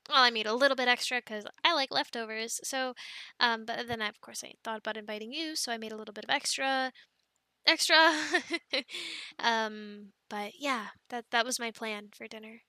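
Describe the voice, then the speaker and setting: A woman playing a devout, affectionate, playful young girlfriend in a casual roleplay, chatting softly and hesitantly with giggly fillers and pauses. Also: a little high, dry recording. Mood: casual, caring. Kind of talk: soft girlfriend roleplay, casual improvised-sounding chat.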